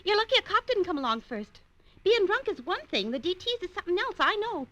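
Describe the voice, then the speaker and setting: A woman's voice: very high-pitched, sing-song, fast talker, fairly bright tone, highs cut off, exaggerated voice. An American woman playing a brassy, kind-hearted streetwise woman in 1940s radio drama style, slangy and familiar. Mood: teasing, sympathetic. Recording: noisy vintage radio recording.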